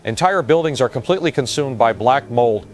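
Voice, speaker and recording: A man's voice: mid-range voice, animated, a little fast, a little hiss or hum, close to an everyday voice. A man playing a grave, shaken field reporter giving a hesitant eyewitness report in an audio drama. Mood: horrified. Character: shaken male field reporter at disaster scene.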